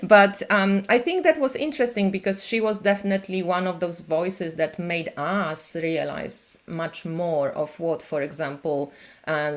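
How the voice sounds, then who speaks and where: A woman who reads tarot, chatting on a live stream in an animated way with many fillers. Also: low voice. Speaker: tarot reader and channel host. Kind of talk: casual conversation on a live stream.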